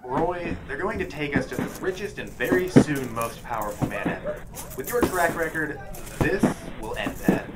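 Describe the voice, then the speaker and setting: Man acting a lively, nagging inner voice. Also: fairly high pitch, moderate pace, heavy background noise, natural voice. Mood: warning. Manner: sarcastic. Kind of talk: comedic audio drama acting.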